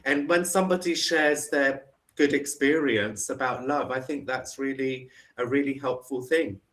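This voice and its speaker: A man with a London accent chats on a video call in a friendly, encouraging way. His voice sits at an ordinary middle pitch. He keeps the talk going with warm follow-up questions. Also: normal speed. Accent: London English. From England. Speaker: online English tutor. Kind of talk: casual video call chat. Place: home in the UK.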